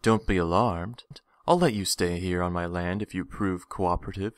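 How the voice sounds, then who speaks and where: Man acting as a smooth, menacing low-voiced villain. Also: no room sound, lightly acted. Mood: smug. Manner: theatrical, condescending, drawn-out phrasing. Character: menacing, greedy, smooth-talking villain stranger, adult man. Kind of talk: audio drama acting.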